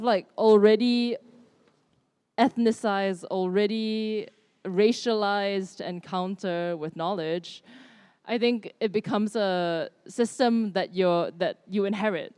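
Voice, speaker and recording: A woman's voice: fairly low pitch, a little mellow, smooth, dry recording. A young woman curator speaking on an art panel in an academic way, with many hedging fillers. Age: young adult. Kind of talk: panel discussion.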